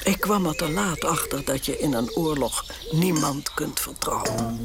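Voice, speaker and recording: An actress playing an elderly former queen telling her grandchild about the war, measured and resolute. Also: deep voice, lively intonation, over loud music. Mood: reflective. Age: older. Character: stubborn elderly woman, a grandmother and former monarch. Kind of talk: radio drama acting. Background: birds chirping.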